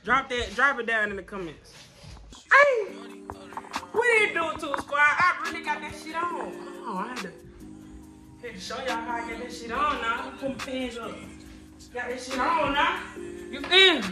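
A woman from Tennessee with a Southern accent, vlogging and arguing playfully with friends, loud and laughing. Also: high-pitched, big pitch swings, normal speed, pauses often, music in the background. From Chattanooga, Tennessee. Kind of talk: casual chat with friends.

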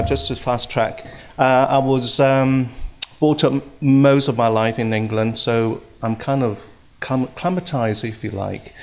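A middle-aged man from Hong Kong giving a hesitant talk at a symposium, with many fillers and restarts, into a stand microphone in a large hall. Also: mid-range voice. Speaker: speaker at a symposium. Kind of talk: conference talk.

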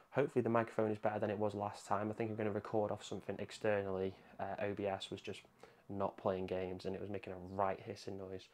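Low-pitched man giving a casual tutorial. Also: slight background noise. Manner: informal, conversational explaining. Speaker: tutorial presenter. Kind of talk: casual hands-on tech tutorial. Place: indoor room at a home desk.

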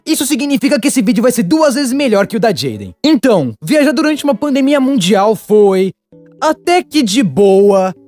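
Man voicing an excitable high-pitched Brazilian cartoon storyteller. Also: moderate pace, soft background music. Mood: chatty. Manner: chatty, animated, comedic. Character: young male cartoon storyteller, excitable and wry. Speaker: narrator. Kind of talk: animated storytime narration, dubbed.

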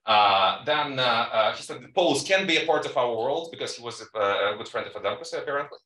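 A man presenting research at a conference panel, talking haltingly with many fillers and quick asides to the audience. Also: mid-range voice, expressive, bright, forceful tone. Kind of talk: academic presentation. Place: conference room. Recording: echoey room, distant mic.

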